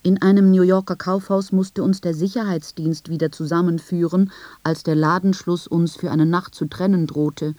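A woman reads scripted, first-person literary narration in a measured, reflective manner with deliberate phrasing. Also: lowish voice, darkish tone, dry recording. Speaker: narrator of a literary radio piece.

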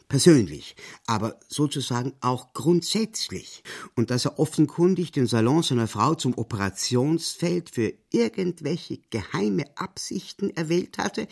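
A man reading literary narration for an audio drama with measured, deliberate phrasing. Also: mid-range voice.

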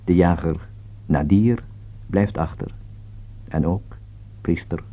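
A man with a Netherlands Dutch accent reads a formal scripted opera introduction as a radio announcer, in measured, careful enunciation. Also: sits low. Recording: dull, band-limited old radio recording.

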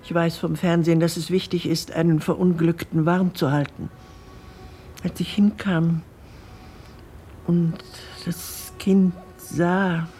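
A woman playing a courteous, habit-bound elderly retiree giving witness in a radio drama, hesitant and deliberate. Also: deep voice, mellow, slight background noise. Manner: polite, hesitant, deliberate storytelling.

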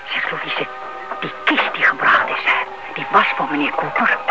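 A woman's voice: deep voice, expressive, moderate pace, loud music behind the voice. An actress playing a spry, inquisitive, suspicious elderly lady in a radio play, chatty and insistent. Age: older. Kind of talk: radio play acting in Dutch. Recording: muffled, very noisy old radio recording.

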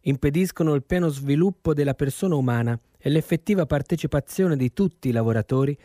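Male reader, dark relaxed tone, measured and formal, dry recording. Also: fairly high pitch, no echo. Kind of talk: solemn reading of a legal text.

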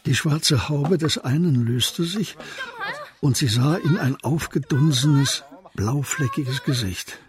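Mid-pitched male radio drama narrator. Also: lively intonation, takes it slowly, a crowd in the background.

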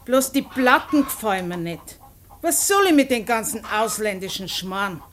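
A woman's voice: medium pitch, animated, moderate pace, fairly bright tone, lightly acted. An actress playing a shrewd, suspicious country farm woman in a radio play, speaking blunt, earthy Bavarian dialect. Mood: grumbling. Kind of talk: post-war radio play acting. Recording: noisy radio play with farmyard poultry.

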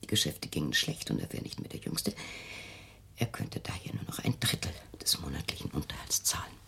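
Radio drama casting: a middle-aged mother, very deep and gravelly, self-justifying, controlling and insistent. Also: very animated, a little fast, fairly bright tone, whispered, heavily acted. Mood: cold, matter-of-fact. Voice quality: husky, breathy, low. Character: controlling, defensive mother of a fearful grown daughter. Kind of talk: intimate German radio play acting.

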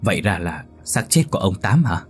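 A man's voice: low voice, very animated, soft background music. A man voicing a nervous young village lad who blurts out and stammers. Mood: scared. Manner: hurried, stammering.

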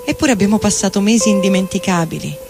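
A man's voice: high-pitched, fast, creaky. A man acting a tormented middle-aged lover in an anguished, literary theatrical monologue. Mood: wistful, aching. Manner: anguished, dramatic, literary delivery. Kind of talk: theatrical literary monologue reading over music. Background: electric guitar and loops.

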